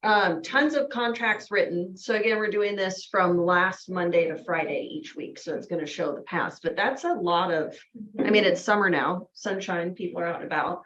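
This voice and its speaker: A woman hosting a casual office sales meeting, brisk and friendly, laughing often. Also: lowish voice, a bit bright, muffled recording, a little hiss or hum. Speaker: meeting host.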